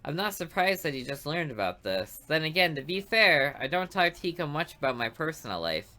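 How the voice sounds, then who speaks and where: Woman reading a visual novel out loud, low voice but bright and crisp, dry sarcastic narration, soft music underneath. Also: very animated, brisk.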